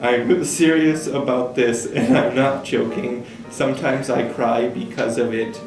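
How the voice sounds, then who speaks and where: Man, halting read-aloud of a letter, roomy distant recording, guitar music behind. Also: medium pitch, steady tone. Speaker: staff member reading aloud. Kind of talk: reading a child's letter aloud.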